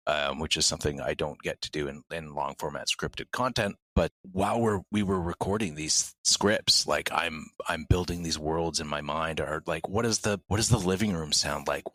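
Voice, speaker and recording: Very deep-voiced man. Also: animated, moderate pace, few pauses, a bit bright. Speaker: sound designer, actor, podcast co-creator. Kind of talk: casual reflective discussion.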